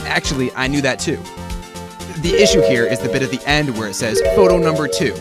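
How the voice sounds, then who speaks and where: A man's voice: medium pitch, animated, brisk, natural voice. A man playing an earnest, persistent young defense lawyer in an amateur fan dub of a game, questioning pointedly. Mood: reasoning. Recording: music playing behind the voice.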